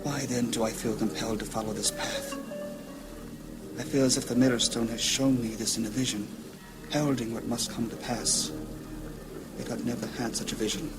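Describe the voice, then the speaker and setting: Guy playing a grave old-world mage leader, formal and theatrical, fantasy radio play, music loud behind him. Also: medium pitch, fairly even intonation, moderate pace, lightly acted. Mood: troubled, brooding. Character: grave, troubled gray-robed mage leader, male. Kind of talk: fantasy audio drama acting.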